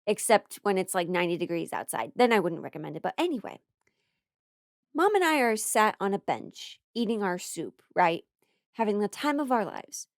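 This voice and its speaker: An American woman with a mid-pitched voice performs a casual comedy monologue. She tells her story playfully, with theatrical emphasis and mock-serious asides. The sound is dry and free of room echo. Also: big pitch swings, moderate pace.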